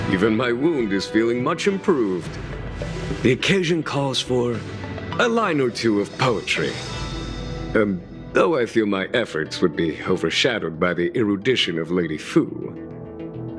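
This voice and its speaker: An actor voicing a courteous, formal official in a video game, speaking graciously. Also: male voice, on the low side, sing-song, a little slow. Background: game music.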